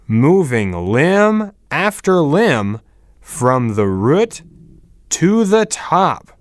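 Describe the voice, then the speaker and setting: A man reading English words and fairy-tale text as slow, carefully enunciated scripted narration. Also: a little high, no echo, studio quality.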